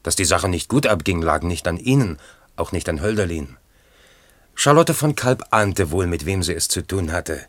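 Sober commentator in a radio drama, deep voice, measured, matter of fact, dry booth sound. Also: male voice, lively intonation, no room sound. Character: sober male commentator recounting a poet's life. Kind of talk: literary radio play narration.

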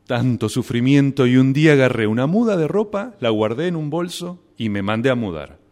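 Radio drama: male actor as obsessive, suffering Argentine everyman, melodramatic and stammering, very animated delivery, mid-range pitch. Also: brisk, lightly acted. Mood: rueful storytelling. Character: anguished, obsessive man deprived of his beloved mate. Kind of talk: radio drama acting with first-person narration.

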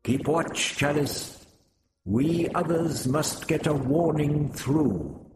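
Casting: man playing a firm, urgent winged alien commander, slow and deliberate. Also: on the high side, expressive, background noise, pitched above the performer's own voice. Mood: resolute. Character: winged insect alien male leader, resolute.

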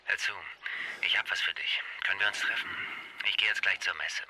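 Man acting a furtive informant, low voice, radio crime drama, very noisy recording. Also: a little fast, close to an everyday voice. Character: furtive male police informant.

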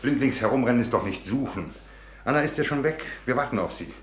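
Man acting a testy suspicious dad, radio play, talks really fast. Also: mid-range voice, animated, slight background noise, natural voice. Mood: worried, firm. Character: tense, testy, suspicious husband and father. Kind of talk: German radio drama acting.

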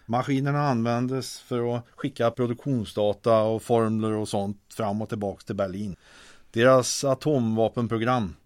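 A man's voice: mid-range voice, steady tone, a little mellow, dry recording, clean studio sound, lightly acted. An actor playing a glum, worried technical expert in a comic radio drama, hesitant and defeatist as he speaks. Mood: hesitant, explaining.

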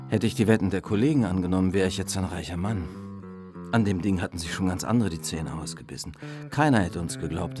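An actor playing a self-ironic, flirtatious police detective in a German radio drama, with wry, clipped asides. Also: male voice, sits low. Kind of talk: German radio drama acting, confiding monologue and dialogue.

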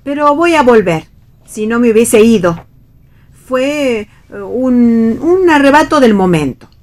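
Scandalized Argentine mother, high voice. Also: animated, measured pace. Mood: defensive. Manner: indignant, reproachful exclamations and questions. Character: scandalized, flustered mother of a grown daughter. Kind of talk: radio play reading.

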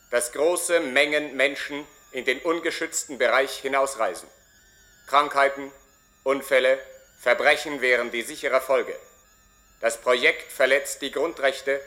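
A man's voice: medium pitch, bright, forceful tone. An actor playing a stern, rigid male security official in a radio play, speaking in formal bureaucratic pronouncements. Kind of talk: German radio play acting.

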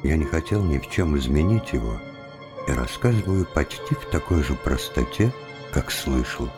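Super deep guy narrating an old story intro, music going behind him. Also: sing-song, normal speed. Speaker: narrator reading the author's preface. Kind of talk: scripted literary narration.

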